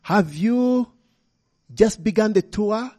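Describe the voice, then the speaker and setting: Man raising his voice for a small girl spirit, playful, wise, lifted pitch, slow. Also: a little mellow. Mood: eager.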